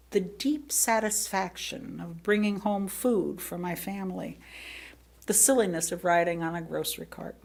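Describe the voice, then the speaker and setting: A woman with an American accent delivers a written sermon as a personal story. Her pace is unhurried and her mid-pitched voice has a creaky edge. She stresses words theatrically and leaves expressive pauses.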